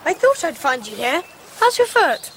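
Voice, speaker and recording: A woman's voice: high voice, sing-song, moderate pace, fairly bright tone, a little hiss or hum, full character voice. A woman playing an anxious, headstrong young upper-class English girl in a Victorian radio drama, speaking earnestly and politely. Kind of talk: 1990s radio drama acting.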